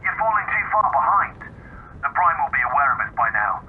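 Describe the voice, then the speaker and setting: An actor playing a brisk, cocky soldier comrade who gives matey orders in a video game parody drama. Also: male voice, fairly high pitch, big pitch swings, narrow, tinny audio, slight background noise. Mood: cocky, urging.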